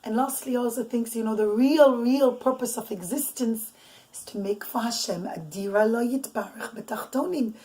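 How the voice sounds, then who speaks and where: A woman telling her child a bedtime story, speaking softly and often dropping to a whisper, explaining Hebrew terms as she goes. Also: fairly high pitch, normal speed, poor audio. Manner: soft, often drops to a whisper.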